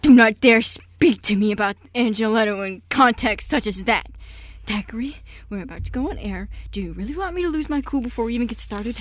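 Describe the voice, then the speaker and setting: A woman's voice: a little high, animated, fairly quick, dry recording, studio quality. A woman playing a prim, protective and easily flustered older uncle in an amateur radio play, with a fussy, exasperated delivery. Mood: appalled.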